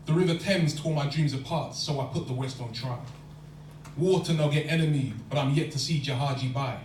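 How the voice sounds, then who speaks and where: Poet reciting in an echoey hall. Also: male voice, fairly high pitch, fairly quick, darkish tone. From London. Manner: rhythmic rhyming delivery. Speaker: spoken word poet. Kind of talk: spoken word poetry performance. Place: lecture hall. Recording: echoey hall from far off, faint hum.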